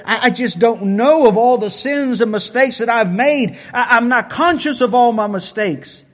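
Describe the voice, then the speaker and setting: A man's voice: extremely high pitch, muffled recording. A man preaching a sermon who often repeats words as he builds a point.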